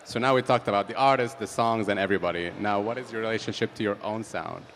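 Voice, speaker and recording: A man's voice: fairly low pitch, fast, a bit bright. A man interviewing a guest casually, encouraging her and laughing often, with frequent okays.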